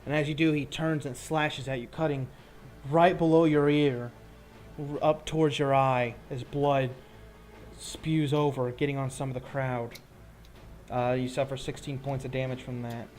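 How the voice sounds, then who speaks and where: A man's voice: medium pitch, little pitch movement, moderate pace, darkish tone, faint music behind. A man, improvising combat narration as game master of a tabletop roleplaying game.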